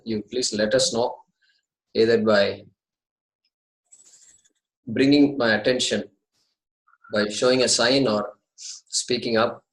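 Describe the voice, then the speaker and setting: A man's voice: fairly low pitch, fairly bright tone, echoey room, poor audio. A man in his fifties with an Indian accent, a native Tamil speaker, courteously opening an online Sanskrit class from his apartment over a video call. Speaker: Sanskrit teacher. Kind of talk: online class orientation talk.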